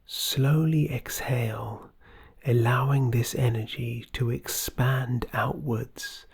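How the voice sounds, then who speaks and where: Very slow, husky, breathy meditation guide. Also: medium pitch, fairly even intonation. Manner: soft, soothing, breathy, often near whisper. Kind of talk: scripted guided meditation narration.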